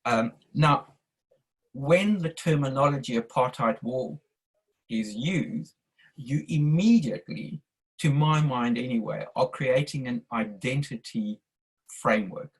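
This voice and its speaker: An older man with a South African accent speaks over a video call. His voice is husky, and he talks in a measured, earnest way. He sounds like he is giving firm advice. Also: medium pitch, rough recording. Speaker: audience member asking a question. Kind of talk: online discussion statement.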